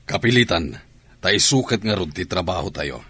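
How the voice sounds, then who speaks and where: A man's voice: low-pitched, expressive, normal speed, pushed and bright, breathy edge, no room sound, heavily acted. A man playing a commanding, urgent army officer in an Ilocano radio drama. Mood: tense, commanding. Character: commanding army officer leading troops into battle.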